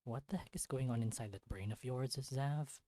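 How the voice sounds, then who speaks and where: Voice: medium pitch, moderate pace, mellow, no echo, studio quality, lightly acted. A performer voicing a shy young male drama student who gets flustered and indignant, in a visual novel.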